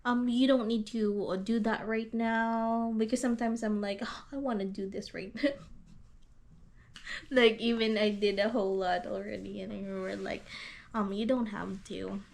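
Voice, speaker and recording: A woman from the Philippines with a Filipino accent, chatting casually on a couple's vlog, halting with fillers and restarts and laughing often. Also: medium pitch, fairly even intonation, vocal fry. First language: Hiligaynon. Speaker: vlog co-host.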